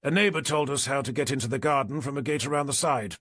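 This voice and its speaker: A man's voice: medium pitch, fast talker, a bit bright, no echo, clean studio sound, voice pushed up, lightly acted. A man voicing a fussy, exasperated police doctor in an audiobook, with a British accent. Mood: matter-of-fact.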